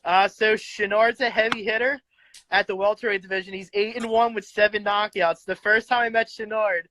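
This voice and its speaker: A man hosting a casual boxing interview, chatty and quick to laugh. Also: sits high, fairly even intonation, bright, forceful tone, slight background noise, low-quality recording. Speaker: interview host, boxing fan.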